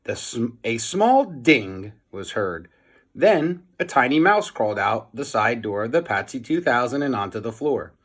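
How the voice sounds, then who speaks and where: A man's voice: medium pitch, very animated, unhurried, slightly rough. A man narrating a children's storybook aloud, as a teacher reading for young listeners.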